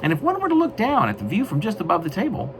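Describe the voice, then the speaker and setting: A man reading his own poetry in a wry, mock-grandiose way, with deliberate, literary phrasing. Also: sits high, wildly swooping pitch. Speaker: poet reading own work. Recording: noisy amateur recording.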